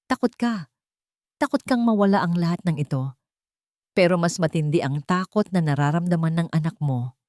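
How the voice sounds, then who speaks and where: A woman's voice: fairly low pitch, normal speed, pauses often, a little mellow, dry recording, clean studio sound, natural voice. A woman voicing a stern, determined grandmother in an audio drama story, firm, cold and accusing. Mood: angry, forceful. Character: stern, determined grandmother confronting her daughter.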